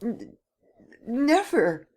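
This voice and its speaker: A woman's voice: sits low, very animated, a little fast, darkish tone. A woman reader voicing a terrified young man who pleads and stammers in anguish.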